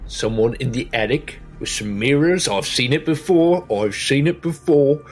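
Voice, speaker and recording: A man's voice: mid-range voice, animated, moderate pace, faint music behind. A man playing a tabletop game, joking and getting flustered as the talk goes sideways. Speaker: player. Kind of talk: casual tabletop roleplay.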